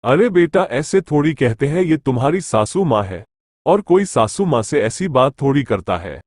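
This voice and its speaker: A man's voice: medium pitch, sing-song, moderate pace, a little mellow, no echo, clean studio sound. A man voicing a mild, caring father in a Hindi moral story cartoon. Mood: gently chiding.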